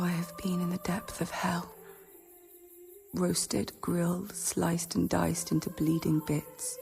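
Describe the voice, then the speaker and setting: An actress playing an anguished, feverish teenage convent novice in a radio drama, in a breathy, halting, intense whisper. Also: female voice, lowish voice, mellow. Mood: haunted anguish. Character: anguished, feverish sixteen-year-old novice girl in visions.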